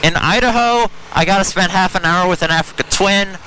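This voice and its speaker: American guy rambling on his bike ride, helmet mic, bright-ish tone, some traffic hum. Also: high voice, a little fast, poor audio. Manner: chatty, rambling, self-deprecating asides. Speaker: motorcycle vlogger. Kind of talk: casual ride-along commentary. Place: riding a motorcycle through city traffic. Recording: faint road and engine noise. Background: traffic and engine noise.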